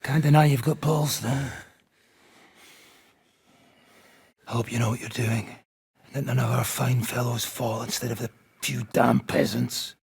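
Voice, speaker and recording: A man playing a gruff, foul-mouthed, menacing mercenary in a video game, with a gravelly, raspy voice and a coarse, swaggering, sardonic manner. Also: mid-range voice, steady tone, normal speed, a little mellow, dry recording. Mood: gruff, grudging. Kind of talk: video game character acting.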